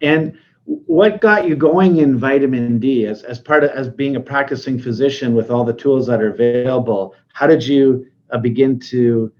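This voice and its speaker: A man's voice: medium pitch, normal speed, lo-fi sound. A man interviewing a guest in a conversational style.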